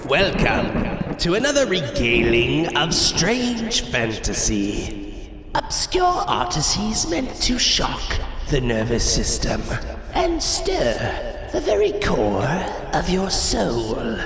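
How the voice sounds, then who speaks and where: A man's voice: mid-range voice, huge pitch swings, over music, full character voice. A man playing a grandiose, ominous horror anthology host, delivering a florid gothic introduction. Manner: ominous, florid, slow and deliberate. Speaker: show host introducing the tale. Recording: cavernous long reverb, crowd sound behind.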